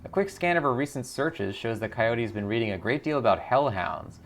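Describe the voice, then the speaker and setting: A man's voice: medium pitch, lively intonation, normal speed, gravelly, a bit bright, slight background noise. A man hosting a gaming video, casually commenting and reading game text aloud, often muttering to himself as he thinks.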